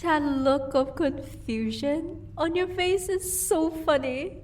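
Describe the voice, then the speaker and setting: A haughty vampire mistress played by a woman talks in a lightly high voice, a little away from the microphone. She sounds amused and teasing yet coolly matter-of-fact, in the style of an audio drama. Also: takes it slowly. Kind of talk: audio roleplay acting. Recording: voice distant from the microphone.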